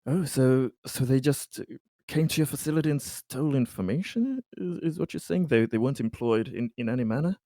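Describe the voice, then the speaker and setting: A man talking casually as a player in a roleplaying game, hesitant, pausing and repeating words. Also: mid-range voice, warm tone, no room sound, clean studio sound.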